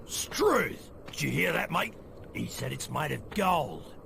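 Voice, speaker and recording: Very gravelly Australian oaf. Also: male voice, a little high, sing-song, takes it slowly, noisy background, exaggerated voice. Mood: greedy, scheming. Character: greedy, oafish male prison guard. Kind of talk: comic video game cutscene acting.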